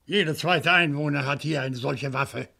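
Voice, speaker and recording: A proud professor in a crime radio play, defensive and precise. The voice is a little high for a man and husky, with clipped phrasing. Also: moderate pace, pushed and bright, heavily acted. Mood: defiant. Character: indignant, cold-blooded professor suspected of murder. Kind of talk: German crime radio drama acting.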